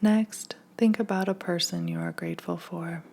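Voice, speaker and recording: A woman leading a scripted guided meditation in a gentle, hushed, soothing manner, leaving long pauses. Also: fairly low pitch, steady tone, gravelly.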